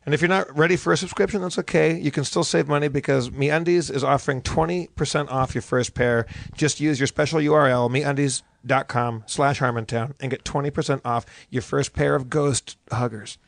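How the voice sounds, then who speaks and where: A man's voice: medium pitch, fairly even intonation, fairly quick, vocal fry, hardly any pauses, no room sound. A man co-hosting a show, improvising his way playfully through a conversational comedic sponsor read. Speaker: show co-host reading sponsor ad.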